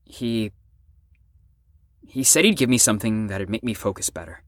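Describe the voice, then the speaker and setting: A young man acting a troubled, self-loathing teenage ex-addict in an emotional audio drama monologue, halting and profane. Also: on the low side, animated, no room sound, clean studio sound. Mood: reflective, halting. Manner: emotional, halting, profane, swings between bitter and impassioned. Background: music under the voice.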